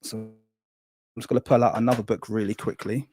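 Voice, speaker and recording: A man's voice: on the low side, steady tone, darkish tone, faint music behind. A man, a guest on a live stream, explaining ancient writing thoroughly and often apologising for taking time. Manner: thorough, apologetic, keeps saying really quickly. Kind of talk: live-stream lecture with visual aids.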